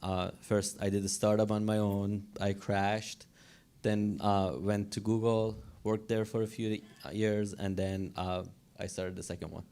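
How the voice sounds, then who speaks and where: A man in middle age with an Iranian accent and a low, very smooth voice. He talks casually about himself as a startup co-founder in an interview. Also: flat delivery, a little slow, darkish tone. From Iran. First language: Persian. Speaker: interview guest, tech company co-founder.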